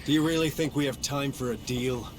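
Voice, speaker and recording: A man's voice: mid-range voice, steady tone, fairly quick, close to an everyday voice. A man playing a weary, stubborn marine sergeant in a video game, terse and blunt. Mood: sardonic. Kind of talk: video game cutscene acting. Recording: music under the voice.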